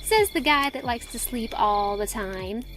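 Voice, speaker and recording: A woman playing a small, feisty, easily flustered teenage huntress girl in a fan audio drama, perky and quick to take offence. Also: fairly high pitch, animated, fairly bright tone, a little hiss or hum. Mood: indignant. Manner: perky, indignant.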